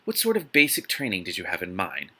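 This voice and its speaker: A man's voice: sits low, huge pitch swings, fast talker, sharp tone, slightly rough, voice pushed down, heavily acted. A man voicing a tart, flustered young woman in a romance novel, with a snappy, sugary delivery. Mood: nervous. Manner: tart, snappy, too sweet. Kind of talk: audiobook-style dialogue reading.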